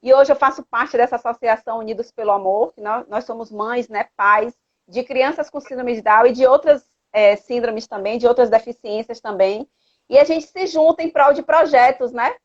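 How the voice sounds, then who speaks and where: Female live-chat host, Salvador Brazilian accent, highish voice, earnest and exhortative, dull lo-fi sound. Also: moderate pace. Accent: Brazilian, from Salvador, Bahia. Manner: earnest, exhortative, with frequent tag questions. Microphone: live social media video call. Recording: dull lo-fi livestream audio.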